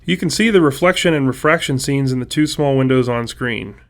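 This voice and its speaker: A man's voice: medium pitch, a little fast. A man reading scripted technical commentary as a game developer.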